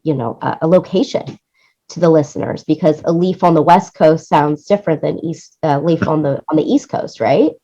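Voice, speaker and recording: A woman's voice: lowish voice, darkish tone, muffled recording. A woman being interviewed about her work in production, talking in an enthusiastic, rambling way. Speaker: guest, music supervisor and producer.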